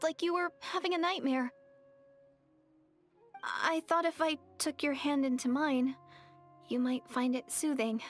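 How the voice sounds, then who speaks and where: A woman voicing a gentle, earnest young lady traveler in an anime-style game, polite and a little hesitant. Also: sits high. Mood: apologetic, soothing.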